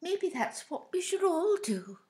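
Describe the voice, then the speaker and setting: A woman's voice: very high-pitched, big pitch swings, measured pace, darkish tone, heavily acted. A woman playing a chatty, whimsical elderly wife in a radio comedy. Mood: musing. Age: older.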